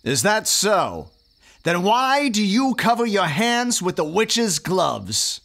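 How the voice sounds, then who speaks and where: Man acting a creaky, fanatical witch-hunting reverend. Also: high-pitched, sing-song, a bit bright, exaggerated voice. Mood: menacing, accusing. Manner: slow, preaching, menacing. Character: fanatical self-righteous reverend, male witch-hunting cult master. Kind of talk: audio drama acting.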